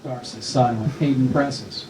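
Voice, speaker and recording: A man's voice: medium pitch, measured pace, crowd noise. A man reading stage directions as scripted narration at a screenplay table read. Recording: echoey room with faint noise.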